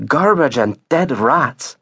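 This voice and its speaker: A man's voice: high-pitched, animated, moderate pace, breathy edge, voice pushed up. A man voicing a blunt, disapproving woman with a scolding manner, as in an audiobook. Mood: certain, disgusted. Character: blunt, disapproving woman who dislikes dogs.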